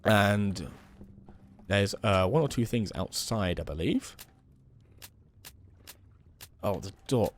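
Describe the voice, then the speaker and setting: A young man with a slightly hoarse voice from a cold, giving relaxed, rambling commentary while playing a building game. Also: fairly low pitch, slow, long pauses, a little hiss or hum. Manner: relaxed, rambling, many "um" and "kind of". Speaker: let's play host. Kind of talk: casual gameplay commentary.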